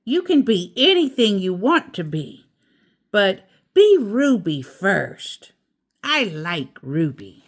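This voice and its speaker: Storybook schoolteacher, played by a woman reader: kind, encouraging, unhurried, very melodic delivery. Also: mid-range voice, very animated, exaggerated voice. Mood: gentle, caring. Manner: gentle, slow, encouraging, teacherly. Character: kind, gentle woman schoolteacher in a picture book.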